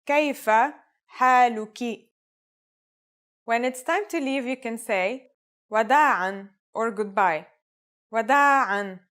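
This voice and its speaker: A woman with a fairly high voice narrates a scripted language lesson. She presents in an orderly, instructional way, setting out each phrase in turn. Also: fairly bright tone. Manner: instructional, clearly structured phrase by phrase.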